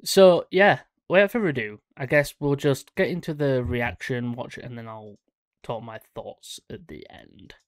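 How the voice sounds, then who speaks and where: A man's voice: medium pitch, moderate pace, dry recording, studio quality. A young man hosting a horror channel, talking casually about a game trailer, rambling and correcting himself with lots of fillers, at a desk mic in a small room. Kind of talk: casual reaction commentary. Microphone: studio mic on stand in front.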